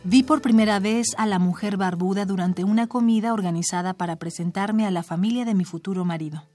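A woman reading her own short story aloud in a measured, expressive storytelling manner. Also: medium pitch, soft background music.

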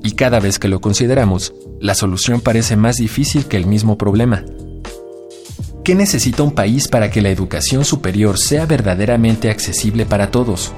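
A man's voice: fairly low pitch, normal speed, darkish tone, soft background music. A man narrating a radio feature in a reflective, rhetorical scripted style.

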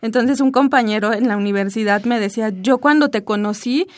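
A woman whose first language is Zapotec, speaking calmly and thoughtfully as a radio guest. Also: a little high, a little fast, no room sound. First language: Isthmus Zapotec. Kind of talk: radio interview.

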